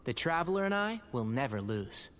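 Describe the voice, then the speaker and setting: A man's voice: medium pitch, sing-song, moderate pace, fairly bright tone, a little hiss or hum. A man playing a determined, supportive comrade in a video game, speaking earnestly and emphatically. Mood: determined, urging. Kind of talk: scripted game dialogue. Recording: game music behind.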